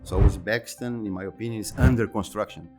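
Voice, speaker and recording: A man in his fifties from Brazil, speaking English with a Brazilian Portuguese accent as an interview guest, talking haltingly and often repeating words and restarting phrases. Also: on the low side, fairly even intonation, darkish tone, soft background music. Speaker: interview guest, exhibition center executive. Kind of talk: conversational interview answers.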